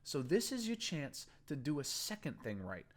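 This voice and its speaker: A man's voice: on the high side, huge pitch swings, moderate pace, pitched above the performer's own voice. A man reading a story aloud, voicing a furious, profane male gang boss who threatens and then coaxes in a raised, cursing voice. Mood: coaxing. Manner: swearing, menacing, raised and shouting. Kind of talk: audiobook character voice.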